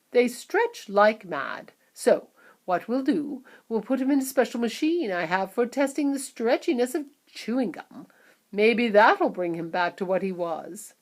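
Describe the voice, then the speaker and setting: A woman's voice: fairly high pitch, pitched above the performer's own voice. A woman doing a breezy eccentric candy-maker. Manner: breezy, whimsical. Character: eccentric cheerful candy-maker, older man.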